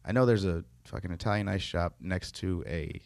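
A man with a lowish, gravelly voice who chats loosely and jokingly, dropping plenty of fillers. His voice sounds dry and close, with almost no room around it. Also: normal speed, a little mellow, dry recording. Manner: loose and joking, lots of fillers, laughs between lines. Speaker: guest. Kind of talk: casual chat.

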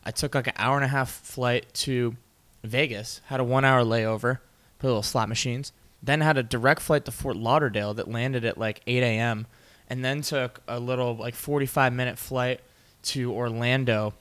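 A young man co-hosting a relaxed sports podcast, recounting games with lively energy and frequent laughter. His voice sits in a middle register and moves along at an easy conversational pace. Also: medium pitch, normal speed. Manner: animated storytelling, laughs often. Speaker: podcast co-host. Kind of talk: casual sports chat.

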